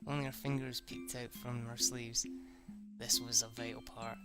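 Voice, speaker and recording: A man's voice: mid-range voice, little pitch movement, normal speed. A man reading a visual novel aloud as dramatic narration, an amateur who stumbles over words and restarts. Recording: music playing behind the voice.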